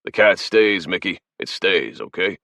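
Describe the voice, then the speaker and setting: A man voicing a tough male soldier boss, gruff, profane and commanding. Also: sits low, expressive, measured pace, fairly bright tone, no room sound, studio quality, exaggerated voice.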